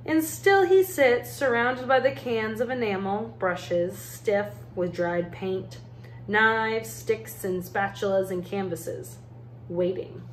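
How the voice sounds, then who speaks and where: A woman reading a children's picture book aloud as an educator, in measured, deliberate phrases with pauses. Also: mid-range voice, expressive. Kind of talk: storybook read-aloud narration. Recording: roomy echo, faint background noise.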